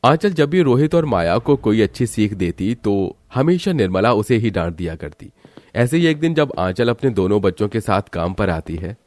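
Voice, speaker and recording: Guy narrating a moral story, lowish voice, no room sound at all. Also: very animated, normal speed, a little mellow, no room sound.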